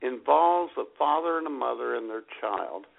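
A man with a husky, gravelly voice co-hosts an internet radio show in casual banter. His delivery is joking and irreverent, and the sound is thin and phone-like. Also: mid-range voice, lively intonation, moderate pace.

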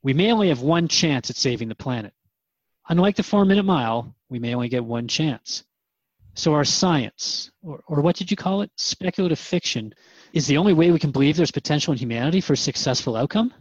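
A man's voice: a little high, expressive, a little fast, husky, no echo, lo-fi sound. A man playing a modest, earnest radio interviewer in a scripted radio drama. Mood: thoughtful. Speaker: radio show host and interviewer.

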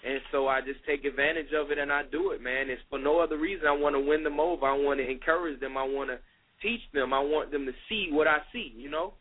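Husky man in his thirties on a phone line. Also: a little high, fast. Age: 30s. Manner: relaxed, conversational, many fillers. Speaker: youth minister guest. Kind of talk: casual radio interview talk. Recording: phone-line radio call.

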